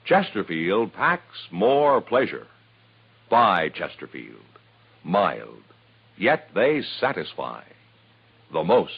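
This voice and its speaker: A man announcing a 1950s radio advertisement with a measured, emphatic delivery and a husky voice. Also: lowish voice. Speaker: commercial announcer. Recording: muffled old radio recording.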